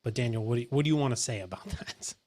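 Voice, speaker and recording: Animated, opinionated man hosting. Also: mid-range voice, very fast. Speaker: host. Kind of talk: casual roundtable chat. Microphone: dynamic mic pointed up at his face.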